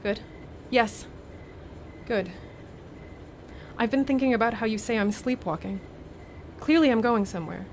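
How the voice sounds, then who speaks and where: An actress playing a haunted, anxious young student in a radio play, with a halting, uneasy delivery. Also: female voice, fairly high pitch, a little hiss or hum. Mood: uneasy musing. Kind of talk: dramatic radio play acting.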